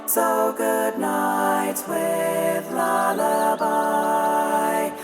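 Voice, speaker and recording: A woman playing a gentle attendant fairy, singing a soothing lullaby in a radio play. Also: fairly high pitch, extremely slow, soft background music.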